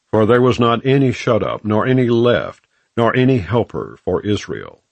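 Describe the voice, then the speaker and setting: A low male voice reads scripture as an audiobook narrator. His delivery is solemn and formal, with measured phrasing and a lively rise and fall in pitch. The sound is dry and close, free of room echo.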